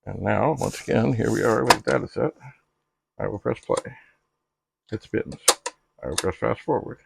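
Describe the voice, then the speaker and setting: A middle-aged man narrating a casual hands-on demonstration, musing haltingly aloud to himself with droll, slightly formal turns of phrase. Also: on the low side, creaky, very noisy. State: frequent coughing and throat clearing. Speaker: retro computer hobbyist and video host.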